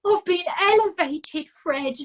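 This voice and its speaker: A woman putting on a high, whining scrawny corporal. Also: lively intonation, unhurried, a bit bright, exaggerated voice. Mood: woeful. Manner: whiny and self-pitying. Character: scrawny, put-upon, whining male corporal.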